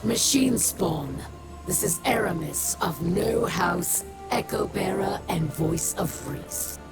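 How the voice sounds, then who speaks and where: A proud, weary alien exile speaks slowly and deliberately, with loud music behind her. She is a bitter female leader from a video game, formal and solemn in every phrase. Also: medium pitch, expressive, creaky. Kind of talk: video game character monologue.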